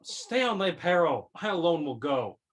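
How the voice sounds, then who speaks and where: Curt young nobleman in a stage play, a woman in the role, low voice, set back from the mic. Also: sing-song, moderate pace. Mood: dismissive. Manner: curt, dismissive. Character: cold young nobleman, impatient.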